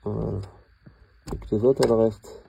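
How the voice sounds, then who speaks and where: Man, very dark soft-edged tone, creaky low voice, halting casual tutorial, noisy recording with clicks. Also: flat delivery. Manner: halting, thinking aloud while working. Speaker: car mechanic hobbyist filming his own repair. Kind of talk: casual hands-on tutorial narration.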